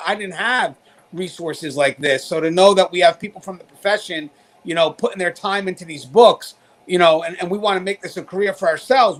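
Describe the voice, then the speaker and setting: High-voiced man hosting a talk show. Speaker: show host, corrections professional. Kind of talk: conversational show hosting, addressing viewers.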